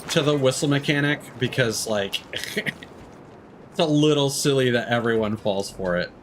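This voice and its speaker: A man's voice: medium pitch, measured pace, slight background noise. A man doing casual live stream commentary on a game, rambling and swearing casually.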